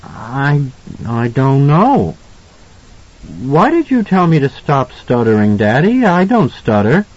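A man voicing a high-pitched, frightened little boy. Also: very animated, a little mellow. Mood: anxious, confused. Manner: halting, pleading delivery. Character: frightened, confused little boy, tearful and earnest. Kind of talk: audiobook character voice. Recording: slightly dull, lo-fi audio.

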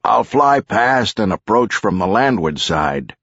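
A man's voice: lowish voice, very animated, a little slow, a bit bright, husky, dry recording, highs cut off, voice pushed up, exaggerated voice. A man voicing a helpful, matter-of-fact helicopter pilot in an audiobook.

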